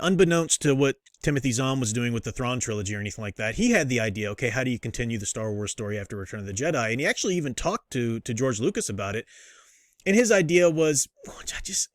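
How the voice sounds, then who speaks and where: A man's voice: mid-range voice, no echo. A man hosts an off-the-cuff review in a mid-range voice. He rambles and doubles back on his sentences with a fan's enthusiasm. The sound is dry, with little room to it. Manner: rambling, self-interrupting, enthusiastic fan talk. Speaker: host reviewing books and comics. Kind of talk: casual unscripted review commentary.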